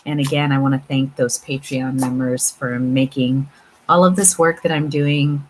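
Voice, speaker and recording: Low-voiced woman, casual spiritual talk, noisy background. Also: normal speed. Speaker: tarot reader and channel host. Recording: clear steady background room noise.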